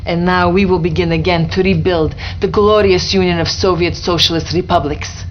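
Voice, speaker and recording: Woman acting Soviet female officer, lower pitch, earnest, patriotic, solemn game briefing, dull noisy recording. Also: fairly even intonation, moderate pace, close to an everyday voice. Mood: proud, solemn. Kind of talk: dramatic game cutscene briefing.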